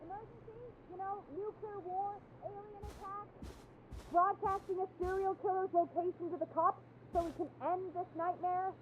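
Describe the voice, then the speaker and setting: An actress playing a friendly radio producer in a horror game, speaking in an earnest, chatty and practical way. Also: female voice, extremely high pitch, fairly even intonation, normal speed, dull audio, slight background noise. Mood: practical, uneasy. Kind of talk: dramatic video game voice acting.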